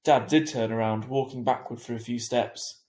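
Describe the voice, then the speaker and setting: A man's voice: medium pitch, normal speed, echoey room. A man reading a children's novel aloud as a teacher would, expressively and acting out the dialogue. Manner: animated, acts out the dialogue. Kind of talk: expressive audiobook-style narration of a children's novel.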